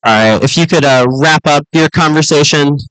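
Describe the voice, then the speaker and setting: A man's voice: fairly high pitch, moderate pace, a bit bright, smooth, dry recording, poor audio. A man giving a conversational keynote to a room of teachers, talking casually with fillers. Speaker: keynote speaker. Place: conference room.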